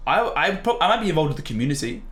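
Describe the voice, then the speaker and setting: Animated, swearing nineteen-year-old man. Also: a little high, rapid-fire. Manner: animated, enthusiastic, swears freely. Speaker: podcast guest, golfer and student. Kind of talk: casual chat.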